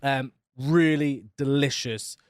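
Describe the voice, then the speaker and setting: A man co-hosting a podcast, animated and quick to laugh, his voice dropping low at phrase ends. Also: clean tone, no room sound, studio quality. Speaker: podcast co-host. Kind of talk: casual chat.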